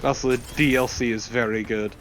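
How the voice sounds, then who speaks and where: A man's voice: mid-range voice, fairly even intonation, a little fast, fairly bright tone, a little hiss or hum. A man chatting casually as a co-commentator on a gameplay video. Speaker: let's play co-commentator. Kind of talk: casual chat. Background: video game music.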